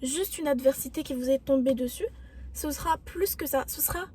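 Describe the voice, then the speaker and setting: A young woman with a high, soft and mellow voice talks straight to her viewers. She gives self-help advice in an earnest, reflective way. Her tone stays encouraging throughout. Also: fairly quick. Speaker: vlogger. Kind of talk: motivational self-help talk.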